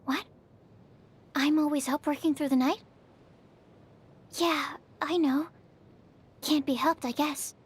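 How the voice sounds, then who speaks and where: A woman's voice: high voice, fairly even intonation, slow, pauses often, a little hiss or hum. A woman voicing a shy, earnest, bookish young female alchemist in a video game, speaking politely and hesitantly. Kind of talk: video game character voice lines.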